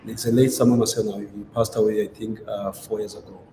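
A man from South Africa talks about his work in a low voice, often restarting his sentences and hesitating. He is recorded over a video call in a gallery room, so the sound rings with long reverb and a faint hiss underneath. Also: flat delivery, fast talker, dark tone. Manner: hesitant, many restarts and fillers. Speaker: ceramic artist. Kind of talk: conversational interview. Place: gallery showroom, indoors. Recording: roomy echo with faint noise.

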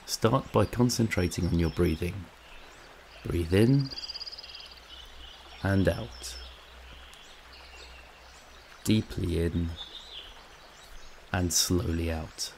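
A man narrating a scripted guided meditation in a calm, soothing, measured way, with long pauses. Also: low voice, vocal fry, slight background noise.

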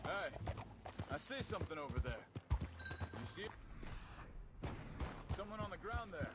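An actor playing an earnest, principled American gang rider in a Western video game, direct and questioning. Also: male voice, high voice, sing-song, slow pace, heavy background noise, full character voice. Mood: wary, hushed. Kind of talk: video game dramatic acting. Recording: game music and horse hooves behind.

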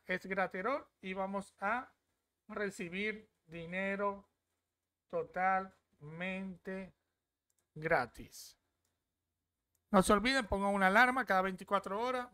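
Chatty young man, smooth dry voice. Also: sits high, bright, forceful tone, no room sound. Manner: chatty, repeats "¿ok?" often. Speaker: crypto app reviewer. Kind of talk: casual screen-share walkthrough.

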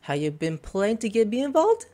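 A man's voice: sits high, huge pitch swings, fairly quick, warm tone. A man streaming a game, reading dialogue aloud and making casual jokey comments. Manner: reads game text aloud, jokey asides. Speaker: gameplay streamer. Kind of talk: casual let's-play commentary.

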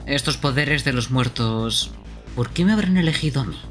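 Wry cool young ghost hero, male streamer voicing, bright tone, game music underneath. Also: mid-range voice, animated, moderate pace, natural voice. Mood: wistful cool. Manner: playful, laughs often between lines.

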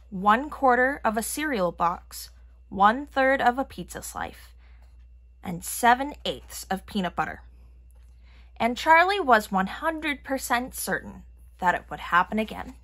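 A woman reading a children's picture book aloud in an expressive manner. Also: on the high side, measured pace. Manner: expressive, acting out characters' lines. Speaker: storybook reader.

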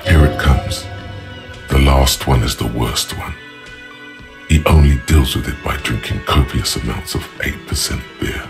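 Very deep, solemn inner voice. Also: male voice. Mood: grave. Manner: measured, literate, knowing. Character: solemn, omniscient inner voice narrating a detective's thoughts. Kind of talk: scripted game narration. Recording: music behind the voice.

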